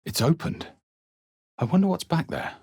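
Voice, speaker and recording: A man playing a brooding, grave fantasy adventurer in a video game, speaking with measured, solemn theatricality. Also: fairly high pitch, very animated, a little mellow, slightly rough, dry recording, studio quality, heavily acted. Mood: alert. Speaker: video game player character. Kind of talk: dramatic fantasy game narration, barks and spell incantations.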